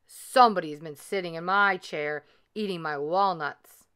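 Great big male bear, growling, forceful, indignant, storybook character, woman performing. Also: fairly low pitch, measured pace, smooth.

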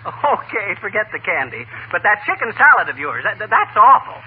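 A cocky, stubborn small-town husband, high-pitched and very animated. He blusters in folksy, blunt, ungrammatical talk in old radio comedy style, over a tinny narrow band. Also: a little fast. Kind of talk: 1940s radio comedy acting. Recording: tinny, noisy 1940s radio broadcast.

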